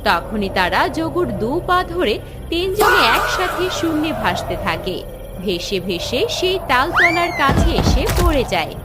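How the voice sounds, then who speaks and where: A woman narrates a scripted children's fairy tale in a high voice. Her pitch moves in an animated way, with music clearly playing underneath. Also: unhurried. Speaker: story narrator.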